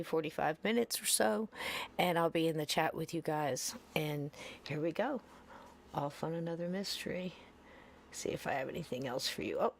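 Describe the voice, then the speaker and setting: A woman's voice: sits low, gravelly, a little hiss or hum. A woman hosting a podcast, chatting in a rambling, casual way before playing an old episode. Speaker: podcast host. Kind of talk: casual chat.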